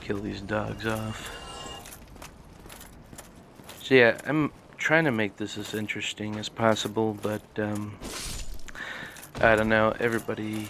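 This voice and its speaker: A man hosting a casual let's play, talking in a monotone and rambling with many fillers. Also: fairly low pitch, measured pace, vocal fry, pauses often, background noise. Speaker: gaming channel host.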